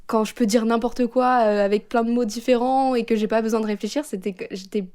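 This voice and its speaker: A woman's voice: fairly high pitch, steady tone, brisk, a little mellow. A young woman from France, a podcast guest, chatting casually and animatedly about coming home from abroad. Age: young adult. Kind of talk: casual chat.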